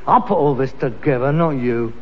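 An actor playing a stubborn, defensive adult son in a 1960s radio sitcom. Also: male voice, mid-range voice, moderate pace, lightly acted. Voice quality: defensive, exasperated. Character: touchy adult son, proud of his handiwork and stubborn. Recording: dull old radio recording with long reverb and faint hiss.

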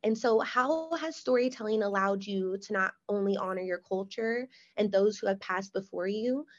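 A woman's voice: mid-range voice, moderate pace. A young woman hosting an interview, speaking earnestly and enthusiastically, with "like" as a filler. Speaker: podcast host. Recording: dull lo-fi sound.

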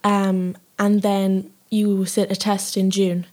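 A woman's voice: mid-range voice, little pitch movement, slow, a little mellow. A teenage girl answering interview questions shyly, with many hesitations. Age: teens. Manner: shy, hesitant, many ums. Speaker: guest, young footballer. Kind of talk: casual interview.

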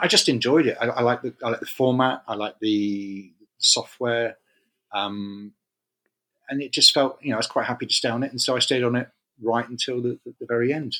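Middle-aged male interview guest from the UK; bright, rambling, self-correcting delivery. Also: mid-range voice, low-quality recording. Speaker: guest, games magazine editor. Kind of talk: casual interview chat.

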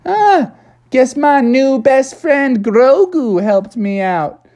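An excitable little frog schoolboy from a children's story, acted out by a man. The voice sits very high and jumps around in pitch. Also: slow, full character voice. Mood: proud.

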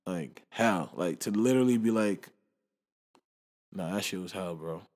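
A man's voice: sits low, measured pace, a little mellow. A man in casual podcast chat, slangy and rambling, who laughs often. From Canada.

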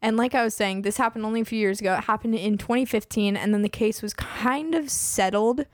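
A woman's voice: mid-range voice, a little fast, dry recording. Female host, 20s, chatty and casual, voice fraying, dry room. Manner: chatty, peppers speech with "like". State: losing her voice. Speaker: podcast host. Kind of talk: casual true-crime podcast chat.

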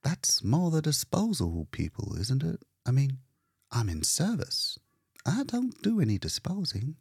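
A man reading a storybook puts on a humble, folksy young-sounding woman. The voice is raised and husky, with lively swings in pitch. She comes across as shy and sincere. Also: a little slow, dry recording, clean studio sound, heavily acted. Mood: earnest, unsure. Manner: shy, plain-spoken, folksy. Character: demure, humble, earnest woman working in a death service.

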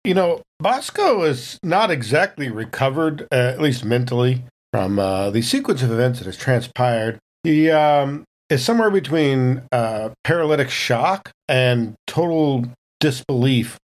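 A man playing a tabletop role-playing game, describing his character in a measured, wordy way. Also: medium pitch, expressive. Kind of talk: improvised in-character narration.

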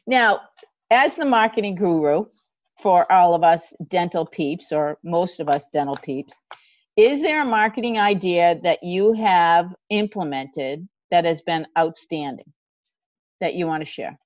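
Lively low-voiced woman host. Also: a little slow, no room sound. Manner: enthusiastic, affirming. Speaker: podcast host. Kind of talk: casual podcast interview. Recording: dry, dull lo-fi audio.

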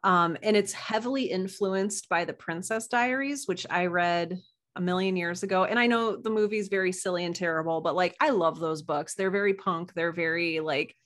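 A woman's voice: fairly low pitch, moderate pace, fairly bright tone. A woman guest on a podcast, chatting casually in an effusive, informal way. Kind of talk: casual chat.

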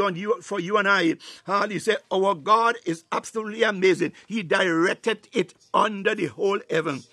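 A man's voice: high voice, sharp tone, dry recording. A man preaching a fervent charismatic sermon and prayer, breaking his phrases with repeated exclamations.

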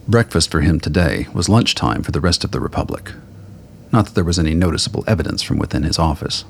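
A man narrating a scripted radio play in a measured, literary storytelling manner. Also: a bass voice, gravelly, a little hiss or hum.

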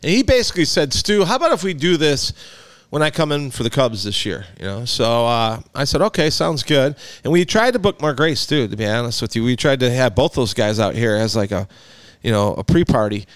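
A man's voice: medium pitch, big pitch swings, a little fast, smooth, no room sound. A man in his forties hosting a podcast, rambling in casual chat with fillers and restarts. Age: 40s. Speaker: podcast host.

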